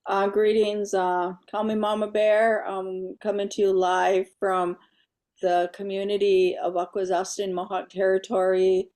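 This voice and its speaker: Woman on a video call, low mellow voice, pretty flat and calm. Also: a little slow. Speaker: clan mother, remote panelist.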